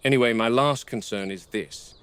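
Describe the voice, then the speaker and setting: Guy doing a gruff game warden, measured n dry, wary, found footage horror log. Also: medium pitch, a bit bright, close to an everyday voice. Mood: exasperated, sardonic.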